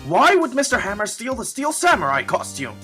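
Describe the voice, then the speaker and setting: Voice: medium pitch, sing-song, fairly quick, bright, forceful tone, faint music behind. A performer playing a pompous, easily shocked male judge in an amateur courtroom game fandub. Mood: official, solemn.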